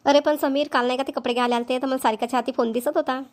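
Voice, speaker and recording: Casting: actress as village mum for cartoon, middle-aged, plain-spoken and agreeable, Vidarbha Marathi, highish voice, very quick. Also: female voice, steady tone, lightly acted. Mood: agreeable, homely. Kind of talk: amateur cartoon dialogue.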